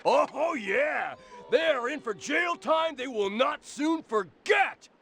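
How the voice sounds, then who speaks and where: Gravelly, high-pitched hyped-up tough guy. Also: expressive, slow, fairly bright tone, heavily acted. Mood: gleefully aggressive. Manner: barking, boastful, hyped-up. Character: aggressive, pumped-up drill-instructor tough guy. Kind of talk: cartoon video game voice acting.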